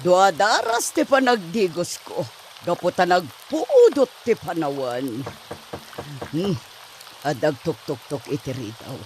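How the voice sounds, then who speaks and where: A woman's voice: on the low side, very animated, slow pace, a little hiss or hum. A woman playing an exasperated character in a comedy radio drama, muttering and complaining. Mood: musing. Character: exasperated woman pestered by a forgetful old man.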